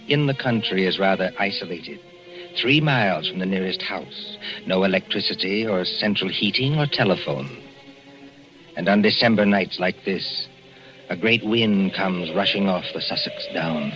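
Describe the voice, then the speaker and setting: A man narrating a radio mystery with an ominous, measured, theatrical delivery, drawing out the suspense. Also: sits low. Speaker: radio drama host and narrator. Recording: muffled 1940s radio broadcast with music behind.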